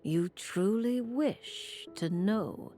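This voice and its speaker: A woman's voice: medium pitch, animated, extremely slow. A woman voicing a haughty, theatrical seer witch in a video game, with an imperious, grandiose manner. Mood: solemn, mysterious. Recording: game music behind the voice.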